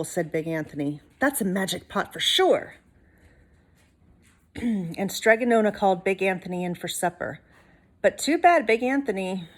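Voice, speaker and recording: A woman reading a storybook to children like a teacher, expressively, pausing to put questions to the listeners. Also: on the low side. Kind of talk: storybook read-aloud for children.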